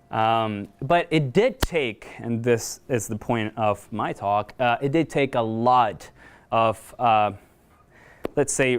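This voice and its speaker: A man from Venezuela, giving a conversational, self-deprecating conference talk in a lecture room, with frequent fillers. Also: lowish voice. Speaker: guest speaker, video journalist.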